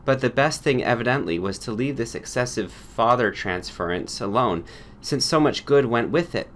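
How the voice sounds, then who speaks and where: A man's voice: medium pitch, lively intonation, moderate pace, fairly bright tone, a little hiss or hum. A man reading a scripted story as an audiobook narrator. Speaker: audiobook reader.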